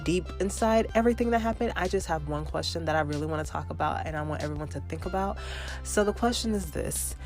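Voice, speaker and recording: Chatty low-voiced woman podcast host. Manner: chatty and animated, with fillers and quoted asides. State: slightly congested from allergies. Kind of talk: casual solo podcast recap.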